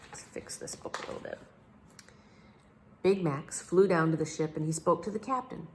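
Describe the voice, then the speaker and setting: A woman reading a children's book aloud as a storyteller, with an expressive, theatrical delivery. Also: deep voice, moderate pace, a little hiss or hum.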